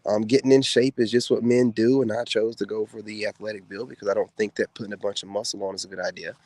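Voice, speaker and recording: Man, low voice, soft-spoken, laid-back drawl, lots of fillers, casual to-camera monologue. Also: steady tone, fast, no room sound. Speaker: content creator speaking to women viewers. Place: outdoors.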